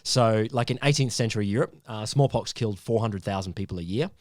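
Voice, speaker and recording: A man's voice: on the low side, fast, a little mellow, dry recording, studio quality. A man co-hosting a casual science podcast, explaining a topic with frequent ums and restarts. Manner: hesitant, lots of ums and restarts, laughs easily.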